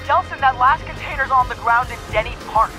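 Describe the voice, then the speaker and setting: Serious take-charge game character, urgent orders, music behind him. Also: male voice, very high-pitched, a little fast. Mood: urgent, firm. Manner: urgent, directive. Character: serious, commanding man coordinating a rescue. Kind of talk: video game voice acting.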